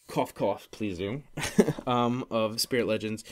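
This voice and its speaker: Guy with a lowish voice doing a solo podcast, super casual, rambles and stumbles over his words, keeps correcting himself. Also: slight background noise. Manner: rambling, halting, self-correcting. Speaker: podcast host.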